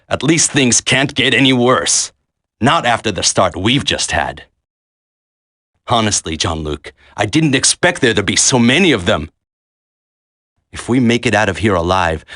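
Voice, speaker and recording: A wry, weary soldier in a video game. His voice is somewhat low with a bright, forceful edge. He talks colloquially. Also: lively intonation, a little slow, no echo, studio quality, full character voice. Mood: weary resolve. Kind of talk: dramatic video game voice acting.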